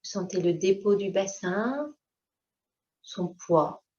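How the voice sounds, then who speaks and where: A woman teaching yoga nidra, leading a slow guided meditation in a calm, unhurried way with short, gentle instructions. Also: medium pitch. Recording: dull, lo-fi sound.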